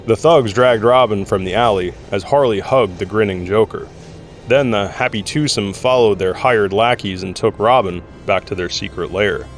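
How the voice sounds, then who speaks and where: A man's voice: on the low side, moderate pace, faint music behind. A man narrating an audio drama from a script, telling the story in the third person.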